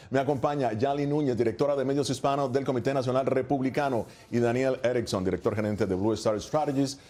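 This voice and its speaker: A man's voice: medium pitch, dark tone. A man hosting a studio news interview, formal and steering the talk with leading questions. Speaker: news host.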